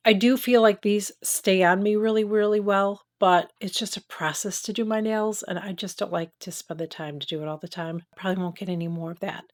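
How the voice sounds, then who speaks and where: A middle-aged woman with a nasal voice from a cold, chatting candidly through a makeup review and tutorial. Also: fairly low pitch. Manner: chatty, candid, talks while applying makeup. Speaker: beauty vlogger.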